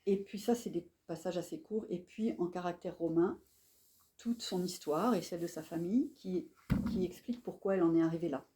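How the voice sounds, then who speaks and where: A woman's voice: lowish voice, fairly quick, background noise. A woman hosting a live author event in a bookshop, presenting a novel with enthusiastic, admiring remarks. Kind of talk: live book event introduction.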